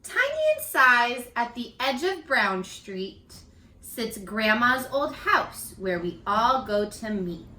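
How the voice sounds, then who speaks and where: A woman teacher giving a read-aloud lesson for young children, expressive and encouraging, asking questions as she goes. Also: fairly high pitch, slow pace. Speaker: elementary school teacher. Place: living room at home. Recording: roomy, echoey home video.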